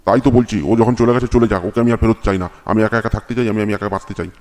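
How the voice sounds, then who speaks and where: A man's voice: lowish voice, fairly even intonation, fast, a little mellow, natural voice. A man playing an aggrieved, stubborn village husband in a comedy cartoon, whining defiantly in crude words.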